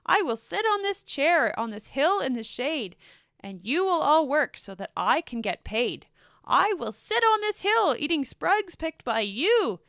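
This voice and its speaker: A woman voicing a boastful, greedy male creature who rants in a loud, shrill voice. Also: sing-song, measured pace, creaky, no echo, studio quality, full character voice. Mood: furious.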